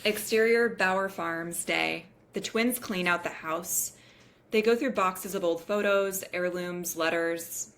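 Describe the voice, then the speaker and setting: A woman with a slightly low voice narrates a screenplay. She reads out scene headings and action as the stage directions reader at a table read. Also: slow pace, a bit bright, slight background noise.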